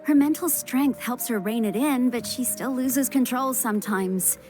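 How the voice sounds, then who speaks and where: A woman's voice: on the high side, normal speed, darkish tone, natural voice. Woman as guarded young woman healer, music behind. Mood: earnest, explaining. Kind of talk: anime game voice acting. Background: game music.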